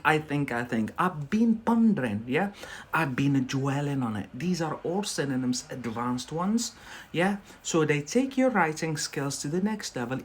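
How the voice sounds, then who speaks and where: A man from Algeria, whose first language is Algerian Arabic, giving an unscripted English lesson on a live stream. He keeps asking "yeah?" and fills pauses with "um". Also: on the high side, husky. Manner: checks in with a questioning "yeah?" after many phrases; loose and unscripted, with many fillers and self-corrections. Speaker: English teacher.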